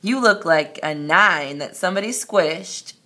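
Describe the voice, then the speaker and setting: A woman's voice: fairly low pitch, animated, unhurried, sharp tone, heavily acted. A woman voicing a blunt castle guard, a number character in a children's storybook. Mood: mocking. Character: mocking male castle guard, a number seven.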